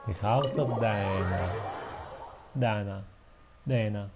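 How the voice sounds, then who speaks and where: A man's voice: fairly low pitch, slow pace, highs cut off. A man doing casual gameplay commentary, muttering short reactions and reading game text aloud. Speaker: gamer playing through a story quest. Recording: loud game music behind.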